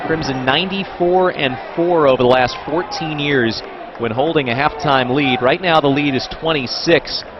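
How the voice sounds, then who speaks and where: Male play-by-play announcer over crowd noise. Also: on the high side. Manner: brisk, informative. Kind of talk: football play-by-play commentary. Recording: dull broadcast audio with crowd noise.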